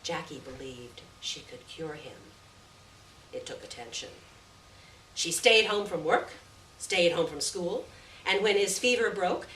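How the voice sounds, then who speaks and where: A woman reading a literary short story aloud at a live event, with measured, deliberate phrasing and stressed words. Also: medium pitch, fairly bright tone. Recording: distant roomy live recording, faint noise.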